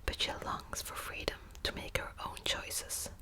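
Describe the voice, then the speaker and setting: A woman whispering close to the mic in an ASMR book video, hesitant with many ums and restarts, also reading passages aloud. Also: unhurried. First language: Dutch. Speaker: ASMR creator.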